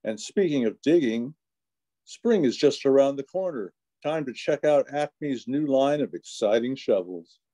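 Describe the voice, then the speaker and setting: A man's voice: mid-range voice, expressive, measured pace, mellow, close to an everyday voice. A man playing a radio announcer, presenting a show and its commercials in folksy old-time radio style. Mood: upbeat, presenting.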